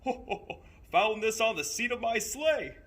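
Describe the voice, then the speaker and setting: A man's voice: expressive, moderate pace, fairly bright tone. A man voicing a jolly, hearty old Santa Claus in a storybook reading, with big ho-ho-ho chuckles. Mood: merry. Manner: booming ho-ho-ho belly laugh, exuberant.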